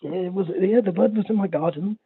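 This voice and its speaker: A man calling in to a comedic talk show, talking in a halting, repetitive, stammering way over a phone line. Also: high-pitched, heavy background noise. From Spain. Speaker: caller. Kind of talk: rambling comedic call-in.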